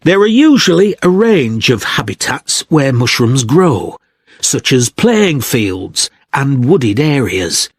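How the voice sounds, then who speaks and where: A man playing an author who gives a measured, instructive informational talk in a scripted listening recording. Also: mid-range voice, big pitch swings, slightly rough, no echo, full character voice. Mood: measured, advising. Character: male author giving practical advice on wild mushrooms. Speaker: guest speaker on foraging.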